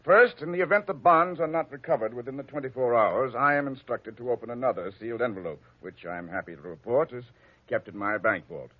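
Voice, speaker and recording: A man's voice: medium pitch, a little fast, natural voice. An actor playing a flustered, fussy old male lawyer who stammers and dithers, in 1940s radio acting on a dull lo-fi old radio recording. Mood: formal. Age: older. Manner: stammering, dithering, chuckles to himself.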